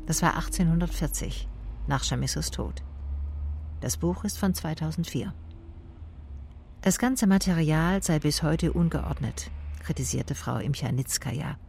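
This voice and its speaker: An actress playing a wary, dryly observant private detective, narrating and speaking in a radio drama in a matter-of-fact manner. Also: female voice, low voice, vocal fry, darkish tone, a little hiss or hum. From Swabia, Germany. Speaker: first-person narrator and lead character.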